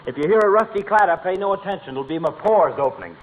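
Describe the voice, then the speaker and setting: An actor playing a weary, sardonic town doctor, dry and mock-pompous, in 1940s radio comedy. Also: male voice, high-pitched, expressive, quick pace. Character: weary, sardonic middle-aged town doctor, florid wisecracker. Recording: tinny old radio broadcast with hiss.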